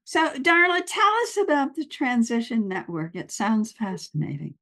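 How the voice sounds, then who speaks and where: A woman's voice: a little high, sing-song, moderate pace, fairly bright tone. A woman hosting a conversational podcast, welcoming her guest and asking open, engaged questions. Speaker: podcast host.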